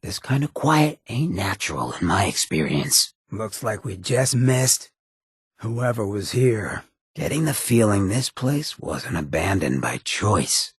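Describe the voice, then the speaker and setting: An actor voicing a roguish, wry ghoul mayor in a video game, with a raspy voice and a sly, streetwise, swaggering drawl. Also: male voice, lowish voice, big pitch swings, slow pace, no room sound, studio quality, exaggerated voice. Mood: wary, wry. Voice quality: raspy, gravelly. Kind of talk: video game character dialogue.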